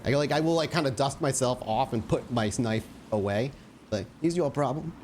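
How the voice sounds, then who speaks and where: Male game player, medium pitch, casual improvised narration, tabletop roleplay, noisy background. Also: normal speed, a little mellow.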